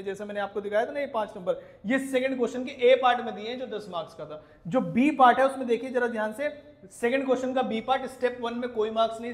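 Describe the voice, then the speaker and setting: An Indian man who teaches, ranting indignantly to viewers in an emphatic, scolding way with rhetorical questions. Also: high voice. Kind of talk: indignant video rant to viewers. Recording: echoey room.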